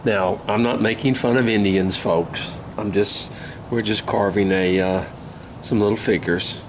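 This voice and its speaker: Hoarse older man teaching whittling. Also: lowish voice. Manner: easygoing, folksy, unhurried, with many pauses. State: hoarse from a cold. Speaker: woodcarving instructor. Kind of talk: casual step-by-step demonstration. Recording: steady outdoor ambient noise.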